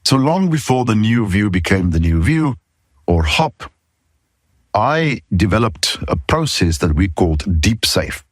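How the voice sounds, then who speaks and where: A middle-aged or older man with a South African accent, hosting an informal podcast talk in a candid, emphatic way, pausing between short phrases. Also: lowish voice, big pitch swings, no echo, clean studio sound. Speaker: podcast host, safety consultant.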